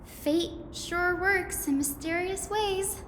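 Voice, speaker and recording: A woman's voice: very high voice, slow, noisy background. An actress voicing a thoughtful, curious young female scholar in a video game, articulate and given to musing explanation. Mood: musing, intrigued. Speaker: assistant lecturer at a scholarly academy. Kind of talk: video game character dialogue. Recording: echoey, long reverb, music behind. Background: music.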